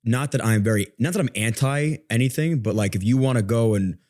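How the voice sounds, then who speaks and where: A man's voice: medium pitch, fairly quick, a little mellow. A man chatting casually on a podcast as a guest, asking questions and explaining his own thinking as he goes. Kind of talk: casual, inquisitive conversation.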